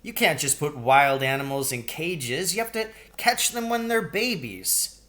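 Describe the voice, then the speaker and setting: A man's voice: fairly high pitch, lively intonation, measured pace, bright, forceful tone, pitched above the performer's own voice. A man voicing a sympathetic, argumentative older brother in a storybook, reasoning and insistent. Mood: arguing.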